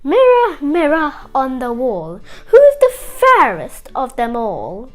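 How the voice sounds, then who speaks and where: A woman's voice: high-pitched, wildly swooping pitch, slow, dry recording, exaggerated voice. A woman storyteller voicing a vain, cruel queen in a children's fairy tale, chanting her demanding questions.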